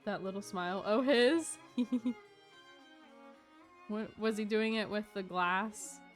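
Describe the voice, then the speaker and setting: A woman's voice: mid-range voice, slow, faint music behind. A woman giving casual live stream commentary on a game, chatting in a gushing, giggly, informal way.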